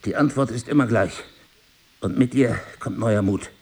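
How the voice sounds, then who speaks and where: Middle-aged husband in a relationship drama, bit husky, wounded but devoted, lectures in careful ironic phrases. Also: male voice, fairly low pitch, a little mellow. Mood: earnest, persuasive. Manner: articulate, deliberate, lecturing, ironic. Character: reproachful yet devoted husband, wounded, philosophizing crime writer. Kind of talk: dramatic stage-play dialogue.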